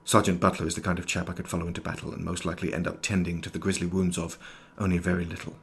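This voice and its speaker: A man playing an erudite, formal nineteenth-century doctor in an audio drama, speaking in precise, ornate sentences with a husky voice. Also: low-pitched, flat delivery, rapid-fire, fairly bright tone, full character voice. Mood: grave, introspective. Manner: precise, ornate, old-fashioned diction. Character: erudite, formal 19th-century doctor, inwardly fearful, sharp-eyed. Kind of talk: scripted audio drama, journal narration and dialogue.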